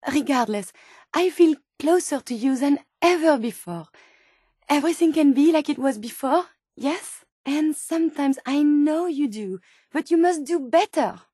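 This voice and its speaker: An actress voicing a gentle, earnest female robot scientist turned human in a video game, with a French accent and formal, precise phrasing. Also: high voice, no room sound, clean studio sound. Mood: pleading, earnest. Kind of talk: video game character dialogue.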